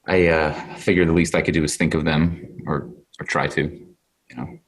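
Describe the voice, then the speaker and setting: An actor playing a kind, loyal young friend in a table read, speaking informally and haltingly. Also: male voice, extremely low pitch, steady tone. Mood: wistful. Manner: halting, informal, with trailing phrases. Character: kind, wistful, eager boy, loyal friend. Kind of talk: actor's table read over video call. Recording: noisy video call with clicks.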